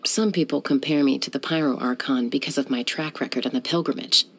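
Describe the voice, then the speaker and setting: An actress voicing a cool, confident young woman who is a sky-hunter and peacemaker in a video game, speaking in a calm, composed, measured way. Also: very deep voice, breathy edge, exaggerated voice. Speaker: game character.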